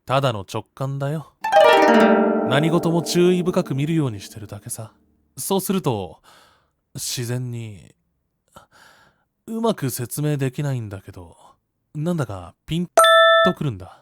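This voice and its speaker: A man playing a calm, polite, responsible teenage schoolboy in an anime-style voice drama, speaking mildly. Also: mid-range voice, moderate pace, long pauses, dry recording, soft background music, natural voice. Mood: mildly exasperated.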